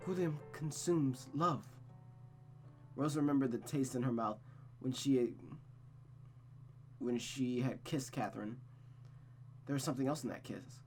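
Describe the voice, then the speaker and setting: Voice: medium pitch, measured pace, long pauses, a little mellow. A storyteller with a mid-range voice reads a visual novel aloud over music. The delivery is dramatic, with small stumbles, repeated phrases and casual asides.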